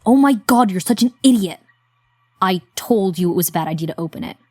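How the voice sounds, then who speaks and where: A woman's voice: medium pitch, animated, fairly quick, darkish tone, no echo, studio quality, natural voice. An actress playing an exasperated young woman scolding a friend in an audio drama, sarcastic and protective.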